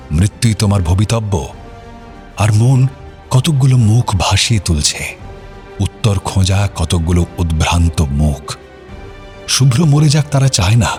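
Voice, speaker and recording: A man's voice: deep voice, a little mellow. A man narrating an audio story in a solemn, literary and dramatic way.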